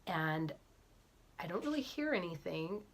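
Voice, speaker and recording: A middle-aged woman with a cold tells a story straight to camera in a chatty, animated way. Her voice sits slightly low, and she often slips into soft, breathy whispered asides. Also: measured pace, slight background noise. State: has a cold. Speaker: vlogger, physician assistant and fitness coach.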